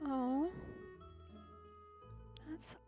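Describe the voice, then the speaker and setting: A woman's voice: sits high, steady tone, drawn-out words, highs cut off. A woman streaming a game, reacting casually and musing aloud in a hesitant, trailing way. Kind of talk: casual let's play reactions. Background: soft game music.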